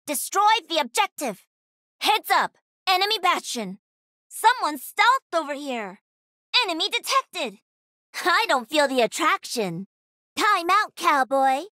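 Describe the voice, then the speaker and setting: A woman's voice: very high voice, pushed and bright, no echo, clean studio sound, heavily acted. A woman voicing a cocky, playful young gamer who pilots a mech in a video game, with punchy, teasing callouts. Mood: urgent. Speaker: voice actor.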